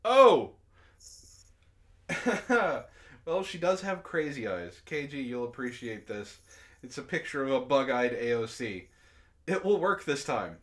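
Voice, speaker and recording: Animated, giggly man with unhurried delivery. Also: mid-range voice. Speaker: online show host reading out chat messages and memes. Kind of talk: casual group chat, reading aloud and reacting to memes.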